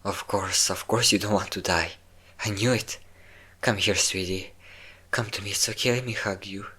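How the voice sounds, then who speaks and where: A man's voice: lowish voice, steady tone, normal speed, bright, forceful tone, breathy edge. A man playing a possessive, obsessive vampire lover in an intimate, dramatic roleplay audio drama. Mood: relieved, tender.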